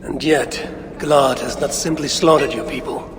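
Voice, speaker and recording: A man playing a proud, commanding Norse warrior god in a video game, with gravelly, solemn and imperious speech. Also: mid-range voice, steady tone, a little slow, lightly acted. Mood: stern, commanding. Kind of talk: dramatic video game acting. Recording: reverberant, noisy game cutscene ambience.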